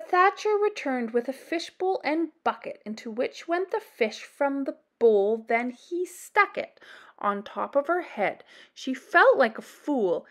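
A woman reading a children's picture book aloud as a storyteller, with an expressive, deliberate delivery. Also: sits high. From Canada.

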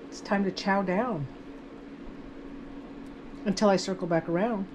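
A woman's voice: mid-range voice, unhurried, background noise. Chatty woman cooking in a home kitchen. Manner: chatty, self-narrating each step. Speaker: home cook vlogger. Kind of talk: casual cooking demonstration.

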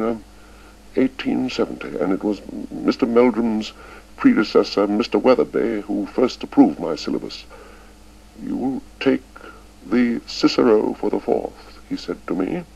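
A man's voice: on the low side, gravelly, mellow, husky, pitched above the performer's own voice, heavily acted. A man voicing an elderly male schoolmaster who stammers and halts, stubborn and fond of the old ways. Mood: proud. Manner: stammering, halting with many uhs. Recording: dull lo-fi recording.

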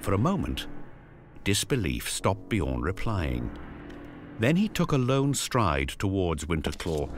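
Deep-voiced male dramatic narrator. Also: very animated. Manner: grave, storytelling, with deliberate pauses.

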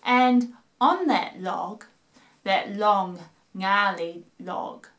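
A middle-aged woman hosting storytime for children, speaking in a deliberate, emphatic, playful storytelling style. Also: medium pitch, fairly bright tone. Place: small home living room. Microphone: camera a few feet away.

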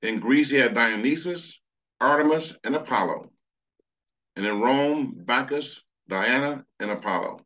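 A man's voice: medium pitch, measured pace, fairly bright tone. Earnest preaching man, dull lo-fi sound. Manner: earnest preaching, reads scripture aloud. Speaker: pastor. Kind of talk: sermon over slides.

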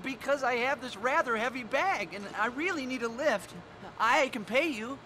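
A man's voice: very high voice, normal speed, pushed and bright, slight background noise. An actor playing a creepy, unhinged male serial killer who talks in a wheedling, sing-song way in a video game. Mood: pleading. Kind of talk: video game cutscene dialogue. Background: game music.

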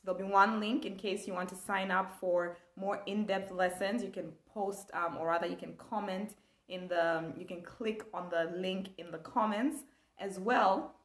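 A woman's voice: medium pitch, normal speed, roomy sound. A young woman from Zambia, teaching an online language lesson with enthusiasm, gesturing as she talks. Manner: animated, gestures a lot, enthusiastic. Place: small room indoors.

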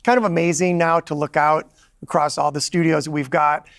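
A man's voice: fairly high pitch, steady tone, normal speed, a bit bright, no room sound, studio quality. A man, a gaming company executive, talking enthusiastically on a podcast, often restarting his sentences. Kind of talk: podcast interview answers. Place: studio set.

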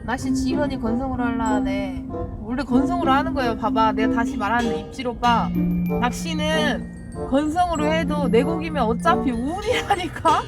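Woman fishing captain, Jeolla accent, high and brash, teasing tone, loud music underneath. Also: moderate pace. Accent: Jeolla Korean. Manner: brash, teasing, bickering with viewers. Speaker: fishing boat captain and live streamer. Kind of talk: casual live-stream chat. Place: on a boat at sea, outdoors.

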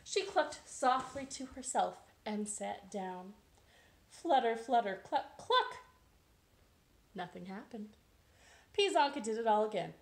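A young woman presenting a children's storytime read-aloud in a playful, exaggerated, expressive way. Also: on the high side, slow, pauses often. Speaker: children's librarian storytime host. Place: living room at home. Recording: roomy echo, some background hiss.

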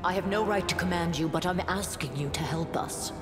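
A resolute woman commander played by an actress in a fantasy game, speaking formally and gravely in a lowish voice. Her words ring through a reverberant hall with music clearly behind. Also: brisk, lightly acted. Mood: grave, urgent. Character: stern, resolute woman commander of crusader warriors. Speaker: military commander.

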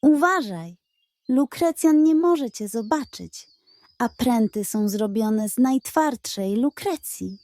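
A woman's voice: fairly high pitch, lively intonation, slow, darkish tone, no echo. A woman voicing a sweet, plucky young candy princess with a spirited, encouraging manner. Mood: cautious warning.

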